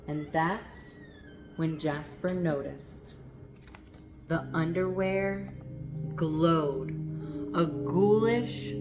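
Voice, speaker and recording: Slow, expressive woman storyteller. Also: fairly low pitch. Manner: expressive, stretches words for suspense. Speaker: storyteller reading a picture book aloud. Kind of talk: dramatic children's story narration.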